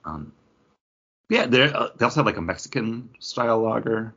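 A man's voice: fairly low pitch, very animated, moderate pace, fairly bright tone, breathy edge. A man co-hosting a podcast, chatting in a casual, rambling, conversational way. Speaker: podcast co-host. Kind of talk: casual chat about comics and beer. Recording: dull, lo-fi podcast audio.

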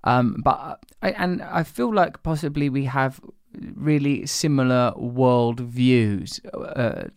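A man's voice: mid-range voice, slow pace, clean tone, no room sound, studio quality. A man interviewing conversationally, putting his questions politely and with tentative, careful phrasing. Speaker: podcast interviewer.

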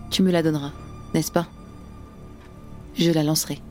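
A woman's voice: low voice, level tone, normal speed, mellow, lightly acted. A woman playing a grieving, fiercely determined young revolutionary in an audio drama, speaking with insistent intensity. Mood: fierce, pleading. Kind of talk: audio drama acting in French. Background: music.

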